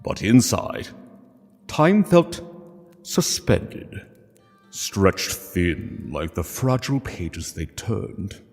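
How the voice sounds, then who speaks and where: A low-voiced man narrates a solemn story at an unhurried pace. He pauses between phrases in a measured, dramatic delivery. Long reverb surrounds the voice. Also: sing-song, creaky, a little mellow, husky. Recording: long reverb, music underneath. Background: music.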